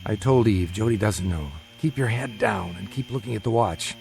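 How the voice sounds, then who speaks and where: An actor in a radio drama playing a calm, careful married father who is secretly plotting, with a guarded manner. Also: fairly low pitch, normal speed, vocal fry, faint music behind. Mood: guarded, secretive.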